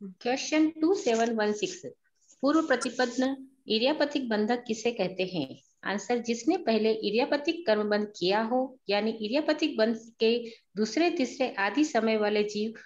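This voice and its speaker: A woman's voice: fairly high pitch, measured pace, slight background noise. Woman reading study questions on a dull line. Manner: reads numbered questions and answers aloud. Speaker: student reading questions and answers.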